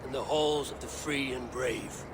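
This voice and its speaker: An actor playing a stoic but tender Viking warrior with a grave, restrained delivery in a dramatic video game. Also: male voice, mid-range voice, measured pace, heavy background noise, close to an everyday voice. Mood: reverent. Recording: loud music over the voice.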